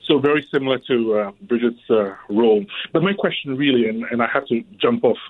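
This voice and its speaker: A man's voice: medium pitch, fairly quick. Man calling in over a phone line. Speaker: caller, chemist. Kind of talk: radio call-in.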